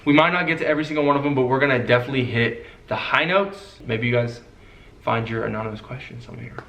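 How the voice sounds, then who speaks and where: A man's voice: medium pitch, fast talker, a bit bright, slight background noise. Laid-back young man in an echoey room. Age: young adult. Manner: laid-back, easygoing, fillers like "you know". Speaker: co-host. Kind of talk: casual couple Q&A chat.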